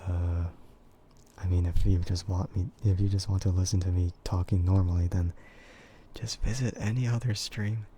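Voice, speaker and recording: Young male streamer, soft whispery voice, deep and dark in tone, slow and hesitant. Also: steady tone, a little hiss or hum. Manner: hesitant, full of fillers and quiet laughs. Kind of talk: soft-spoken ASMR chat with viewers.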